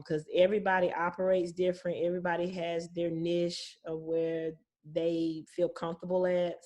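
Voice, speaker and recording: A woman's voice: lowish voice, darkish tone. A woman speaking as a guest property manager, explaining things casually in an informal, reassuring, plain-spoken way.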